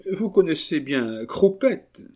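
A man's voice: a little high, sing-song, takes it slowly, darkish tone, voice pushed up, exaggerated voice. A man voicing a haughty, grieving marquise from a novel, plaintive and melodramatic in an aristocratic way. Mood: desperate, doleful. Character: haughty, overwrought grieving marquise mourning her little dog.

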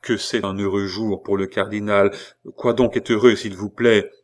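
A man's voice: on the low side, little pitch movement, normal speed, close to an everyday voice. A man voicing an anxious, proud captive woman in an audiobook, tense and pleading.

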